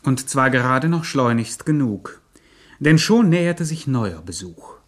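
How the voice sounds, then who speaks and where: Male co-narrator, radio satire: average pitch, formal chronicler delivery with irony. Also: sing-song, a little slow.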